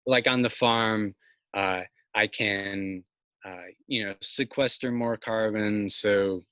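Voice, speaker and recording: Bright-voiced man on a video call. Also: lowish voice, fairly even intonation, clean tone, no echo. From Massachusetts. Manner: thoughtful, halting, many fillers and restarts. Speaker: farmer, interview guest. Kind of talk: casual video call conversation. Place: outdoors by a house's siding. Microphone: video call, laptop or phone mic.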